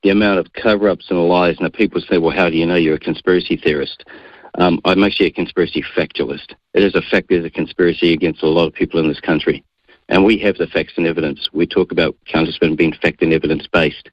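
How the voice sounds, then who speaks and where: A man's voice: deep voice, fairly even intonation, fast, no echo, highs cut off. A middle-aged man with a New Zealand accent, answering radio interview questions assertively and defiantly. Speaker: guest.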